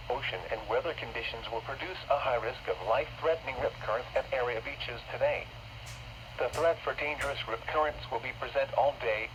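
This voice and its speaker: A man's voice: mid-range voice, dull audio. A man reading a formal, impersonal scripted weather bulletin in clipped segments, heard over a weather radio broadcast. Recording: noisy radio reception, static.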